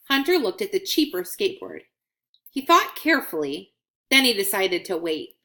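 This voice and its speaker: A woman's voice: medium pitch, very animated, moderate pace, pushed and bright. A woman narrating a children's storybook as a read-aloud. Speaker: storybook reader.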